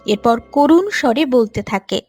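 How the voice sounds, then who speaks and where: Slow, high-voiced woman narrator. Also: expressive, slightly rough. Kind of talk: scripted story narration. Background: soft music.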